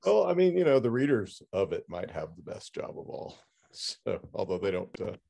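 A man hosting a casual interview, with halting, hesitant phrasing. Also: fairly low pitch, very animated, vocal fry, warm tone. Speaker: podcast host, interviewer.

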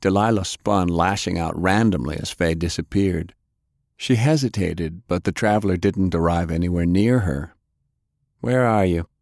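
Male audiobook narrator, low voice, very dry close sound, telling action fiction. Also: normal speed, a little mellow, no room sound.

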